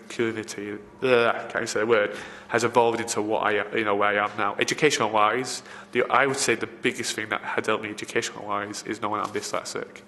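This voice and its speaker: A man's voice: lowish voice, bright, forceful tone. A man, a film location assistant, giving rambling interview answers full of fillers and restarts. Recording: reverberant room, faint background noise.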